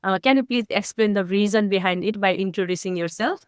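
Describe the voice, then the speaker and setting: A woman's voice: medium pitch, fairly quick, smooth, no echo, studio quality. A woman hosting a podcast, asking polite, formal interview questions. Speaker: podcast host.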